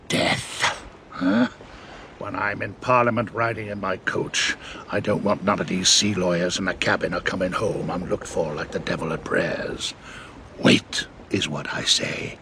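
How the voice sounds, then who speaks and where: A menacing pirate ringleader in an audio drama, feigning gentility in old sailor's dialect. The voice is low and full of gravel. Clear background noise runs behind it. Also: male voice, full character voice. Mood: coolly menacing. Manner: old seafaring dialect, wheedling and threatening by turns. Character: cunning, menacing pirate ringleader, falsely genteel.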